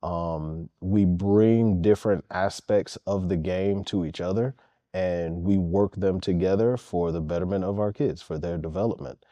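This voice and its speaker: Earnest male coach in an interview; low, dark voice, dry close sound. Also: steady tone, measured pace, no room sound, muffled recording. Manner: earnest and emphatic, repeats key points. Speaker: head basketball coach. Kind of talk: interview answers.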